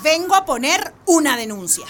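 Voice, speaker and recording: A woman's voice: sits high, animated, normal speed, fairly bright tone, a little hiss or hum. A woman acting an indignant, battered wife standing up for herself in a radio drama. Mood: aggrieved. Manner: defiant, aggrieved.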